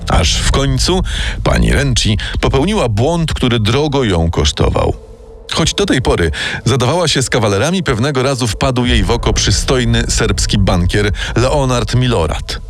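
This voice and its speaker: A man giving scripted true-crime narration as a dramatic storyteller. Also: mid-range voice. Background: music bed.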